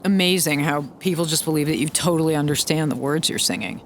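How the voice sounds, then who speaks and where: A young woman playing a sharp-tongued, sceptical rock musician in an audio drama, speaking bluntly and casually. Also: sits low, fast, slight background noise, lightly acted. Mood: wry. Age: young adult.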